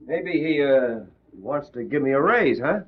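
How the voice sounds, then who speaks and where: A nosy, wisecracking newspaper reporter, breezy and eager. His voice swings lively up and down. It sounds thin and tinny, like an old radio transmission. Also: medium pitch, unhurried, slightly rough. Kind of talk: 1940s radio acting.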